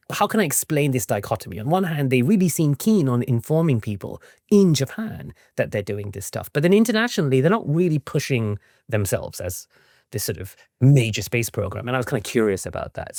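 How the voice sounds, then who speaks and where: A man's voice: mid-range voice, big pitch swings, a little fast, darkish tone, dry recording, studio quality. A man in his forties from England, explaining things conversationally as an interview guest, enthusiastic and often seeking agreement. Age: 40s. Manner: enthusiastic, often checks with "right?". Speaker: interview guest, researcher and author.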